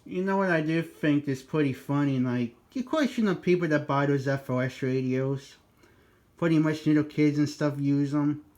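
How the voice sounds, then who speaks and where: A man's voice: fairly high pitch, moderate pace, darkish tone. A man talking informally in a casual two-way radio chat, chatty and sprinkled with operator jargon. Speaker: amateur radio operator making a video.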